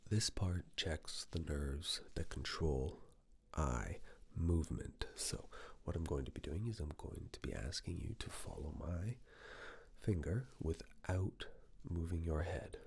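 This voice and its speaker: Male ASMR performer as medical examiner: breathy husky whisper, very slow, soothing, measured delivery. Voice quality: breathy, husky whisper mixed with very low soft voice. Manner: soothing, measured instructions in short phrases.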